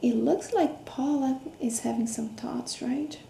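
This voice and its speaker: A woman reading a picture book aloud to children, expressive, with questions, gasps, hums and hushed asides. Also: high voice, measured pace, gravelly. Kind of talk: interactive children's read-aloud with questions to young listeners. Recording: roomy echo, faint background noise.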